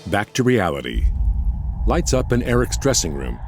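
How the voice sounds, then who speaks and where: A man with a deep voice serves as narrator, reading scripted stage directions. Music plays clearly behind him. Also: sing-song, moderate pace.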